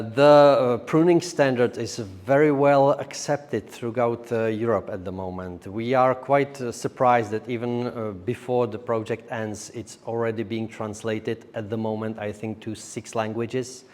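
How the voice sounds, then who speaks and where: A man giving a semi-formal conference presentation as a project speaker, with a halting, hesitant delivery. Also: mid-range voice, darkish tone. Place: large echoey indoor hall. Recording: echoey hall with long reverb.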